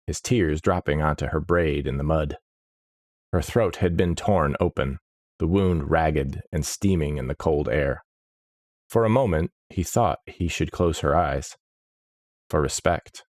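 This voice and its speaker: Male audiobook narrator for dark fantasy; unhurried, grave, measured delivery. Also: a bass voice, no echo, clean studio sound.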